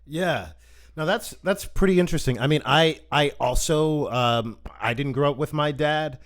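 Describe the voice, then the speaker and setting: A man's voice: a little high, normal speed. A man hosting a casual interview over a video call, asking friendly, chatty questions. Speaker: host.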